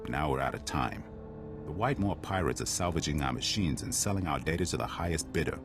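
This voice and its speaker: Blunt game commander, extremely low voice, wry, world-weary, loud music behind. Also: male voice, lively intonation, fast talker. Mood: grave, blunt. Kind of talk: video game cutscene acting.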